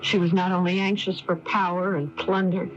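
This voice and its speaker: A woman's voice: lowish voice, flat delivery, soft background music, close to an everyday voice. An actress playing a solemn young woman recalling an ancient past life, telling it with intense drama in 1980s radio style. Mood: wistful storytelling. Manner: slow, intense, dramatic storytelling. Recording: dull lo-fi AM radio recording.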